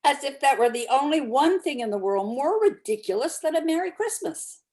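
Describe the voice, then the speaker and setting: A woman's voice: high-pitched, animated, moderate pace, darkish tone. A woman narrator reading a classic story aloud as scripted narration.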